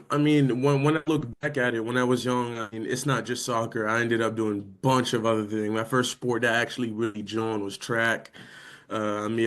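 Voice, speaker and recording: Young guest, native French speaker, low and level, relaxed and unpolished. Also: male voice. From France. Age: young adult. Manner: easygoing, many "I mean" fillers. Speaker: guest, college linebacker. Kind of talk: casual interview.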